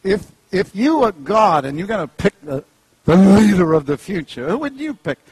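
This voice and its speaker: A middle-aged man with a New Zealand accent preaching an animated, humorous sermon, jumping into playful voices. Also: normal speed, husky, no echo, rough recording. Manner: jokey, acts out characters, pitch leaps high.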